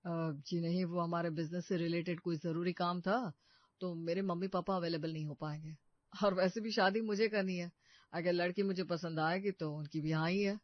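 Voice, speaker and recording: Man voicing a high-pitched, assured young cartoon schemer. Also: fairly even intonation, moderate pace, no echo, close to an everyday voice. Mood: smooth, boastful. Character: protective, scheming young working-class brother posing as rich suitor. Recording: muffled dry studio recording.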